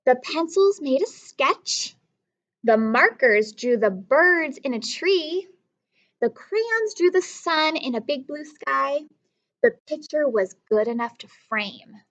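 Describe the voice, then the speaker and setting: A woman teacher reading a story aloud to children, expressively, pausing to instruct and question her young listeners directly. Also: high voice, sing-song, a bit bright, rough recording. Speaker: elementary school teacher.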